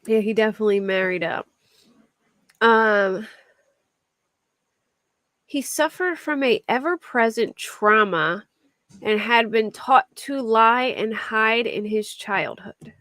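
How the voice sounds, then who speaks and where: A woman's voice: fairly high pitch, slow, long pauses, a bit bright, no room sound. A young woman with a Philadelphia accent, casually reading out a crime story and chatting with her livestream viewers. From Philadelphia area. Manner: chatty, reads a story aloud while answering chat, laughs often. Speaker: true crime livestream host.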